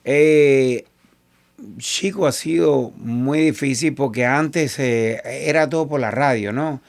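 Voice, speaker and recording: A Cuban man with a Cuban Spanish accent, a salsa singer being interviewed. His voice is gravelly and sits at an ordinary middle pitch. He tells his stories with animation and keeps acting out what other people said. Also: takes it slowly. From Cuba. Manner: animated, quotes others in lively asides. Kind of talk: casual interview storytelling.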